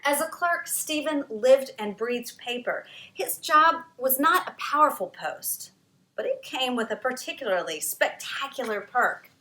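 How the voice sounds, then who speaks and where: A woman author reading her own children's history book aloud in a dramatic, expressive storytelling manner. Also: high-pitched, reverberant, poor audio. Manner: dramatic, varied storytelling delivery with emphatic pauses.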